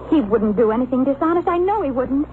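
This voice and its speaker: A woman's voice: high voice, animated, fast talker. A woman playing an earnest, loyal, lovesick fifteen-year-old girl, pleading, in an old radio drama. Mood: hurt, earnest. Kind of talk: 1950s radio drama acting. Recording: tinny, noisy old radio recording.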